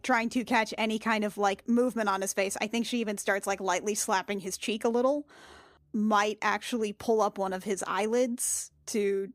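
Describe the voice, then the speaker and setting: A woman's voice: medium pitch, no room sound. Casual woman player, close dry sound. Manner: loose, thinking aloud. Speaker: player in a tabletop game. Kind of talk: casual improvised description of character actions.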